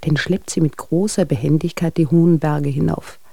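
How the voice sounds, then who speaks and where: An actress playing a wry, gossipy friend who reports on a couple in letters, dry and observant, in a radio drama. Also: female voice, sits low, steady tone, normal speed, creaky, warm tone, husky, no room sound, exaggerated voice. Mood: wryly amused.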